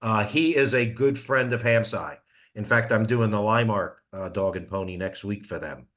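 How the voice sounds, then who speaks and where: A man's voice: on the low side, moderate pace, a bit bright. A man talking casually as a participant on a group conference call. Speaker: meeting participant.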